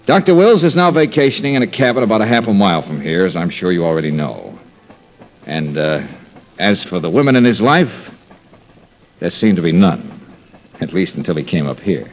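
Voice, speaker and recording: An actor playing a wisecracking, smooth-talking private investigator in a 1950s-style radio detective drama. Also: male voice, low-pitched, animated, a little fast. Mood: brisk, businesslike. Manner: smooth-talking, casual, teasing. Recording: dull, noisy old-time radio recording.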